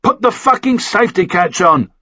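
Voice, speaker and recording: A man's voice: high-pitched, expressive, moderate pace, pushed and bright, no room sound, muffled recording, voice pushed up, heavily acted. A man voicing a hard, urgent soldier giving clipped, sweary orders in an audiobook thriller. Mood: urgent, shouting. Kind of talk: audiobook character dialogue.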